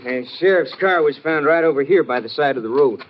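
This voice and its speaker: A defensive small-town constable acted by a man in a 1950s radio drama. His voice sits fairly high, and his talk is clipped and folksy, as if his work is being questioned. The old recording sounds muffled. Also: expressive, fairly quick, slight background noise, natural voice. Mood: edgy.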